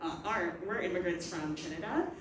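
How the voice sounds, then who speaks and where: A young Canadian woman talks reflectively on a panel, laughing now and then. Her voice sits a little low. It comes from some distance in an echoey room, with steady noise behind it. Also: fairly quick. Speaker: panel moderator. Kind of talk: casual panel discussion. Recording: echoey room, far from mic, noisy.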